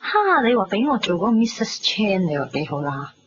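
An actress playing a pragmatic, cynical, chatty shop girl in her twenties in a Cantonese radio drama, with a Hong Kong accent and a blunt, animated delivery. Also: medium pitch, a little fast, a little hiss or hum, lightly acted. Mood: blunt, worldly. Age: 20s. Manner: animated, blunt, teasing, sprinkles English words. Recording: dull lo-fi radio recording, music behind.

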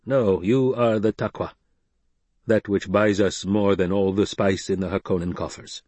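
A man voicing a stern, proud leader of a desert tribe, grave and forceful. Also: lowish voice, fairly even intonation, moderate pace, no echo, close to an everyday voice.

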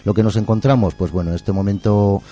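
A man's voice: fairly low pitch, fairly even intonation, fairly quick, warm tone. A middle-aged man talks as an interview guest in an effusive, colloquial, rambling way. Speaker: interview guest, museum keeper and musician. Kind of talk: casual interview chat.

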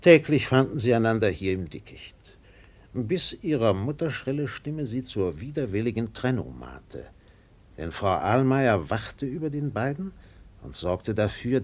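Radio drama narrator: grave seafaring storyteller, lowish male voice, sweeping dynamic delivery, dramatic pauses. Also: a little mellow, breathy edge, exaggerated voice. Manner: measured phrases with dramatic swells and pauses.

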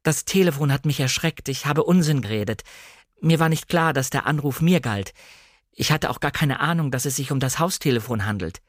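A man doing a timid, high-pitched young lady. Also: expressive, a little fast, no room sound, studio quality, natural voice. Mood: flustered, apologetic. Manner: hesitant, eager to please, apologetic. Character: timid, flustered, apologetic young lady of the house.

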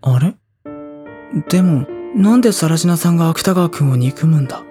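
A man's voice: a little high, animated, normal speed, warm tone, natural voice. Earnest teenage schoolboy narrating over music, acted by a man. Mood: puzzled, uneasy. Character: anxious teenage schoolboy narrator, introspective and hesitant. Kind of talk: Japanese audio drama acting and inner-monologue narration.

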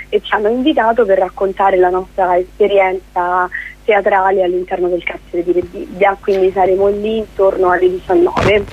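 A woman's voice: mid-range voice, no room sound, phone-quality sound. A woman speaking as a guest theatre director in a conversational radio interview, explaining things discursively.

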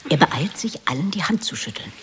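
A woman's voice: low-pitched, very animated, brisk, in a whisper. A woman reading stage directions as the narrator of a radio play in a dramatic scripted style.

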